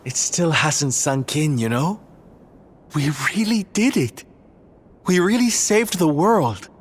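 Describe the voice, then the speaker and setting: A man's voice: a little high, expressive, takes it slowly, slight background noise. A man playing a flamboyant, affectionate showman adventurer in a video game, theatrical and coaxing, full of sugary endearments. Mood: moved, wondering. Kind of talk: video game cutscene acting.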